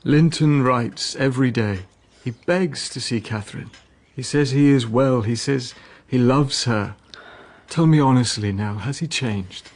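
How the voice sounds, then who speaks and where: An actor playing an ailing, weary gentleman father in a period audio drama, grave and deliberate, gentle but anxious and protective. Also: mid-range voice. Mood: worried.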